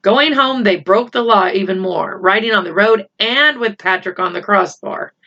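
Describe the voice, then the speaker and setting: A woman reading a children's novel aloud in a deliberate storytelling manner. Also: mid-range voice, bright, forceful tone. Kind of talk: read-aloud story narration with voiced dialogue. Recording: slightly dull, lo-fi home recording.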